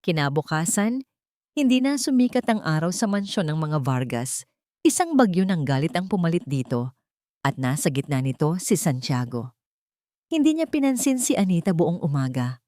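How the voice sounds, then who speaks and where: Woman narrator with evenly low-set pitch. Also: big pitch swings, normal speed, dark tone, no room sound, studio quality. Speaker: narrator of a romance drama story. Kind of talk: scripted dramatic storytelling narration.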